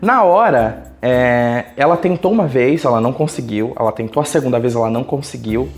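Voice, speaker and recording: Fast-talking Brazilian man telling a story. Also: mid-range voice. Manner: colloquial, animated retelling with acted quotes. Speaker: YouTuber. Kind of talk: casual personal storytelling.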